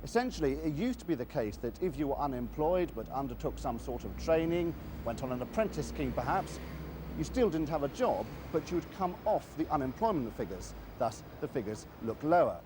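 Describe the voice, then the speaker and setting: A man's voice: on the high side, big pitch swings, fairly quick. Male TV reporter, background noise. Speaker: TV news reporter. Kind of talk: scripted news report narration.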